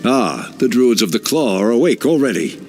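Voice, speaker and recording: A man's voice: mid-range voice, sing-song, moderate pace, background noise. An actor playing a stern, noble male elf druid leader who speaks in a grave, commanding way.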